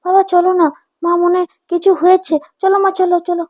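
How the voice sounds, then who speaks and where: A woman voicing an eager young boy in a cartoon, childish, excitable and pleading. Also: extremely high pitch, a little fast, pitched above the performer's own voice. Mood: urgent. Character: young boy, eager fish-loving son of a fisherman. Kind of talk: cartoon voice acting.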